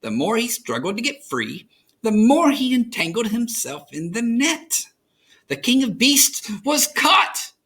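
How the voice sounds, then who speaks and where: An American man narrates a children's storybook with dramatic expression. His voice is bright and forceful, and his pitch moves widely as he tells the tale. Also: big pitch swings, unhurried, breathy edge. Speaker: children's story reader, host.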